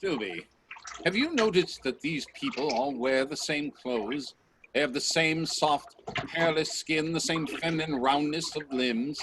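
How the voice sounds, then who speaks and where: Bold professor time traveller, radio play, highish voice, bit of noise behind. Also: male voice, lively intonation, measured pace, fairly bright tone. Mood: probing, dissatisfied. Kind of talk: radio drama acting. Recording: noisy video-call audio with clicks.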